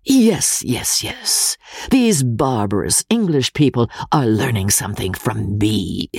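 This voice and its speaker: A narrator voicing a vain, pompous Italian count who speaks in a deliberate, self-satisfied way. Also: mid-range voice, sing-song, a little mellow, slightly rough, no echo, clean studio sound, exaggerated voice. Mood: smug.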